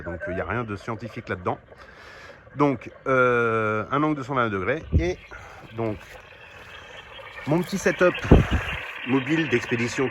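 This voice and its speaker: A man giving a casual, down-to-earth hands-on demonstration as the host of a hobby vlog. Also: medium pitch. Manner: informal, down-to-earth, often tagging phrases with "hein". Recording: noisy field recording.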